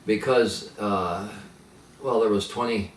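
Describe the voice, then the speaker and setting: An older man who grew up speaking Pennsylvania Dutch, reminiscing slowly with many pauses and fillers. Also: fairly low pitch. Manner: halting, many fillers and restarts. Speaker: interviewee. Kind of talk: reminiscing in conversation. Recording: echoey room, mic at a distance, faint noise.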